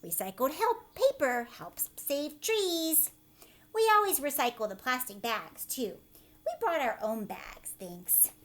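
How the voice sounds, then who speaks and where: A woman with a fairly high voice speaks as a teacher to young children. She moves between reading a picture book aloud and chatty asides, keeping her wording simple and often tagging on short check-in questions.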